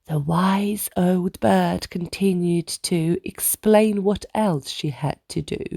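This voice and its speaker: A woman reads a rhyming children's story aloud. Her voice is soft-toned and a little low, and she takes her time over each line. The sound is dry, with no room around it. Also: slow, creaky, no room sound. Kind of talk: children's read-aloud, rhyming scripted narration.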